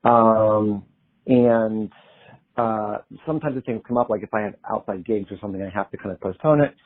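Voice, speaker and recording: A man's voice: fairly low pitch, normal speed. A young man from Pennsylvania, a singer-songwriter guest, chatting in an easygoing way and laughing often. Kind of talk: casual interview chat. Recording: tinny phone-like line.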